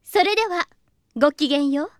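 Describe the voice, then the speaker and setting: A woman's voice: high-pitched, animated, brisk, background noise. A woman playing a proud rich teenage girl in an anime audio drama, imperious, with refined ladylike diction. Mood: haughty.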